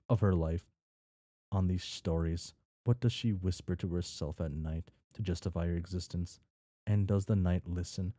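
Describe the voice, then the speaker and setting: A man's voice: deep voice, warm tone, dry recording. A man casually chatting and reading aloud in an offhand way, sometimes stumbling over words as the host of a read-along stream. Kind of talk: casual chat while drawing and reading a story aloud.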